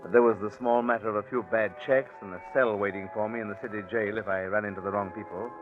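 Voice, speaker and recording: Actor as British gambler, tinny old radio. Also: male voice, lowish voice, steady tone, fast, soft background music, close to an everyday voice. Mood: wry narrating. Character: desperate English racetrack gambler, smooth-talking, resigned confessor. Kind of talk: 1940s radio acting, first-person crime narration. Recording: tinny, band-limited 1940s radio recording.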